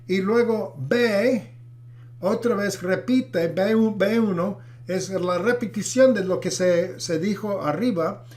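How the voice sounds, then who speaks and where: A middle-aged man, a pastor who is not a native Spanish speaker, teaching a Bible class with many pauses, fillers and restarts. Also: sits high, a little mellow.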